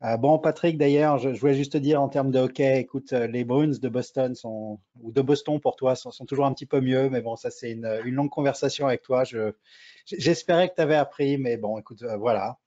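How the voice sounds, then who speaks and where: Mellow bantering man on a video call. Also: mid-range voice, a little fast. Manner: relaxed, bantering. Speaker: presenter. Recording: dull lo-fi call audio.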